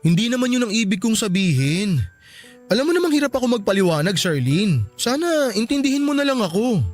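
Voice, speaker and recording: A man's voice: high-pitched, sing-song, fairly quick. A man playing a meek, apologetic young husband in a radio drama, hesitant and pleading. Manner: hesitant, pleading, soft-hearted. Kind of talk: radio drama acting in dialogue. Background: background music.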